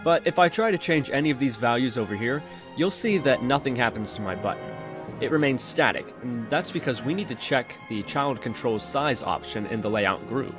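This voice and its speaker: A man narrating a software tutorial in an instructional, step-by-step manner. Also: medium pitch, lively intonation, normal speed, over music. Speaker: tutorial presenter.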